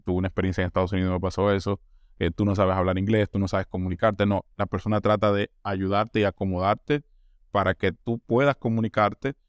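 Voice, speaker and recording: A man's voice: low voice, steady tone, brisk, clean tone, no room sound, studio quality. A Colombian man talking at length in an interview, often restarting words and acting out what others said. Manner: talkative, restarts words, acts out quoted voices. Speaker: interview guest, newcomer. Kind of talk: casual interview.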